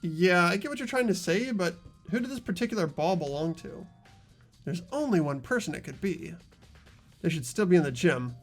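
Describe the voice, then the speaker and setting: Expressive, very lively game narrator. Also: medium pitch, normal speed. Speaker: playthrough host and narrator. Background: faint music.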